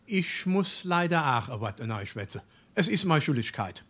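A man's voice: a little high, big pitch swings, moderate pace, fairly bright tone. A man playing a stuffy, respectable burgher father in a Darmstadt dialect comedy, speaking formally and ponderously. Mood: stern, formal. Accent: Darmstadt Hessian. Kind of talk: dialect stage comedy acting.

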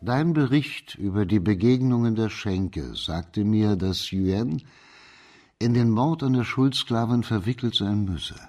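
An actor playing a stern, dignified district judge in a radio drama, speaking formally and with measured authority. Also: male voice, lowish voice, a little mellow. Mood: thoughtful, explaining.

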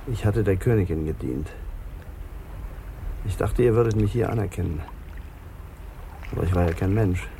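An actor in a German radio crime drama playing a wounded, lonely Papuan man confessing with bitter resignation in a gravelly, subdued voice. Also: low voice, dark tone, a little hiss or hum.

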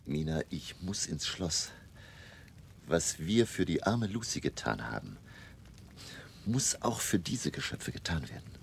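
An older man playing a grave vampire hunter in a German radio drama, narrating his diary solemnly with a gravelly voice. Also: very animated, measured pace, long pauses, full character voice. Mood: urgent, reassuring. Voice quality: very deep, gravelly. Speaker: narrator and actor.

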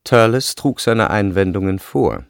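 Creaky low-voiced male literary narrator. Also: unhurried, no echo. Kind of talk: scripted literary narration in radio play. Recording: studio voice with music behind. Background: music.

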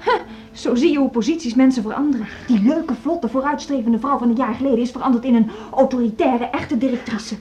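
A woman's voice: high voice, brisk, mellow, natural voice. An actress playing a blunt, mocking, defiant woman music teacher in a naturalistic Dutch radio drama with a Netherlands accent. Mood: mocking, accusing. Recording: dull radio play, faint music.

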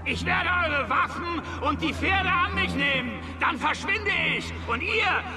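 A ruthless Western outlaw on the run. His voice is pushed very high, commanding and taunting, as he barks threats. Loud music runs underneath in this radio drama. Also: lively intonation, a little slow. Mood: menacing, taunting. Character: ruthless fugitive villain shouting threats at hostage-takers' pursuers.

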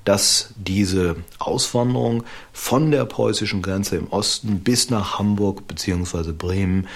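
A man explaining history as an interviewed expert, deliberate and emphatic, with academic phrasing. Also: fairly low pitch.

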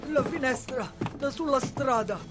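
A high-pitched male actor's rebel fighter, loyal and fierce. The radio drama performance plays over clearly audible music. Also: a little slow. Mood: tense. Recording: music behind the voice.

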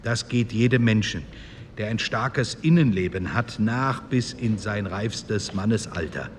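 A man playing an earnest, pious and compassionate parliamentarian, giving a solemn, measured speech. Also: lowish voice, lively intonation. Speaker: actor in a documentary radio play. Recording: echoey hall with background noise.

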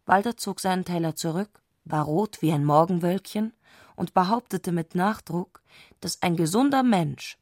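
A woman reading scripted literary narration for a radio play with measured, precise diction. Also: on the low side, a little mellow, dry recording, clean studio sound.

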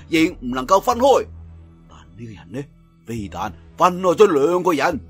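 Male storyteller, Cantonese accent, lively pitch swings, husky tone, theatrical martial arts narration, drawn-out emphatic phrases. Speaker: audiobook storyteller.

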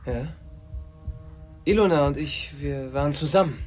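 An actor playing an anguished, obsessed man confronting a friend who betrayed him, insistent and halting, in a German radio drama. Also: on the high side, darkish tone, soft background music, natural voice. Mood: hesitant.

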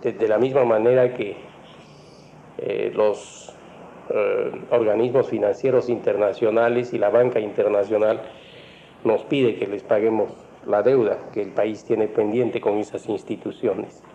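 A Peruvian-accented man with a fairly low, creaky voice explains matters formally as an expert, often pausing and hesitating. The recording is muffled, with some background noise. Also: level tone, mellow. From Peru. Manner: halting, hesitant, formal wording. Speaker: economist being interviewed. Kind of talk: spoken explanation. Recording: muffled, noisy lo-fi interview recording.

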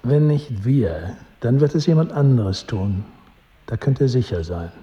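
A man's voice: medium pitch, lively intonation, measured pace, dark tone, natural voice. A man playing a hawkish, pragmatic knight in a radio play, insistent and matter-of-fact. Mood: cool, insistent. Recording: muffled, reverberant hall.

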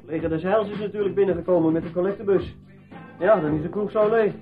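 A man's voice: sits high, quick pace, music in the background, close to an everyday voice. An actor playing a shifty, cheeky petty crook in a radio drama, wheedling and wisecracking as he protests his innocence. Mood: cheeky, grumbling. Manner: wheedling, evasive, wisecracking, stammering self-justification. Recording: muffled phone-like old radio play, noisy.

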